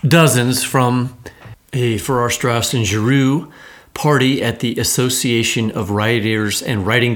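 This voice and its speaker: A man's voice: medium pitch, a bit bright. A man speaking casually as the host of a podcast.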